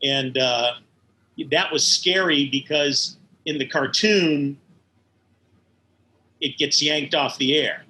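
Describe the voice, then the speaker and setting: A middle-aged or older man, an animator being interviewed, explaining things in a measured, hesitant way. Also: fairly high pitch, bright, forceful tone, rough recording. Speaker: animator guest. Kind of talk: reflective interview conversation.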